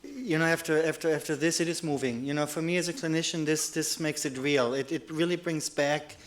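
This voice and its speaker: A man's voice: on the high side, brisk. Earnest German-accented male lecturer. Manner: earnest, with many restarts and repeated words. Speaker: psychiatrist giving a lecture. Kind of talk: conference lecture.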